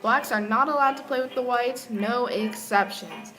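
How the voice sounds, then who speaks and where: A woman's voice: on the high side, normal speed, pushed and bright, low-quality recording. A young woman reading scripted newsreel narration as a student presenter. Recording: music playing under the voice. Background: clearly audible music.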